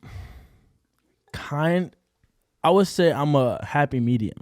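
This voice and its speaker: A young man as a podcast guest in casual chat, easygoing and giving brief, relaxed replies. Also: a little high, slow, dry recording, studio quality. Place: studio.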